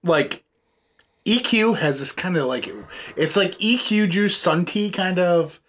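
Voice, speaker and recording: Husky guy in his 30s rambling about a beer, pitch jumps all over, room mic. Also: expressive, takes it slowly, fairly bright tone. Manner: rambling, loose, says "like" a lot. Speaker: beer reviewer, co-host. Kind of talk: casual chat. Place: indoor room with a tasting table.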